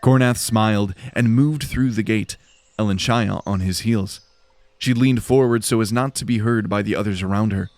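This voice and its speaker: Low-voiced male fantasy narrator, very dry sound. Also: moderate pace, a little mellow, no echo. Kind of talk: scripted dramatic fantasy narration.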